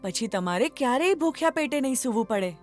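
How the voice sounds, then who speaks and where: A woman voicing a gracious, wise fairy in a children's cartoon story, with a measured, instructing manner. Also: on the high side, lively intonation, a little mellow, faint music behind, close to an everyday voice. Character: gracious, wise magical fairy granting wishes.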